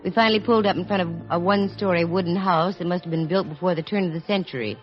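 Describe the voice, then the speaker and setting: An actress playing a sharp, composed female detective who narrates in a brisk, matter-of-fact way, in 1940s radio acting style. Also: lowish voice, close to an everyday voice. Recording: dull lo-fi vintage radio recording, faint music.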